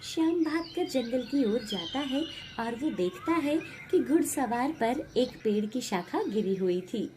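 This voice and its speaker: A woman's voice: a little high, lively intonation, a little slow, hardly any pauses, mellow, a little hiss or hum. A woman reading a scripted moral story as its narrator.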